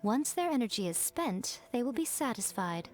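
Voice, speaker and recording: A woman's voice: fairly high pitch, sing-song, moderate pace, a little mellow. A woman voicing a sly, elegant fox shrine priestess in a video game, playful, teasing and self-assured. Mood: composed, explanatory. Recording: music behind the voice.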